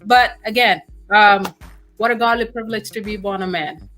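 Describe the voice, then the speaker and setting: A woman from South Sudan, a guest author, answering interview questions in a hesitant, halting way with many fillers. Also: medium pitch, steady tone, fairly bright tone, faint music behind. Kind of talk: casual interview.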